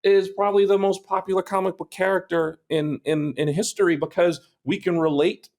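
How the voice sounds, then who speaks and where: A man's voice: sits high, steady tone, fairly quick, darkish tone. A man from Brooklyn in a casual podcast chat, playful and self-mocking. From Brooklyn, New York. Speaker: podcast guest, film director.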